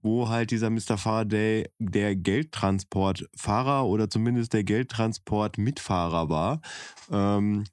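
Zögernder Mann mit eher tiefer Stimme. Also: male voice, lowish voice, unhurried, a little mellow, clear, resonant tone, no room sound. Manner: hesitant, many fillers and restarts. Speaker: podcast co-host. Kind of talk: casual chat about a book and audio drama.

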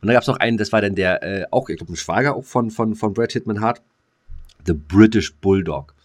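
A man with a fairly low voice and a very quick tongue, speaking with a German accent. He banters casually like a podcast co-host, blunt, slangy and full of teasing.

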